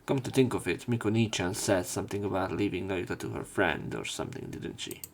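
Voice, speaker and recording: A man narrating a visual novel playthrough, reading story text and dialogue aloud with some halting pauses. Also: deep voice, gravelly. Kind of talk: scripted narration and character line reading. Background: game music, keyboard typing.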